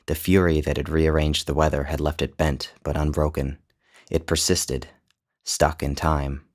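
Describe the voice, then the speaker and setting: A man narrating his own literary short story in a measured, descriptive storytelling manner. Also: very deep voice, little pitch movement. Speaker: author and narrator.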